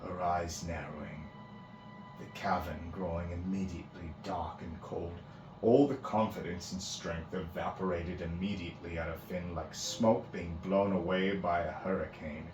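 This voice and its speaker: A man's voice: low voice, fairly even intonation, moderate pace. A man narrating a fantasy story in a dramatic read-aloud style. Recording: roomy echo, music behind.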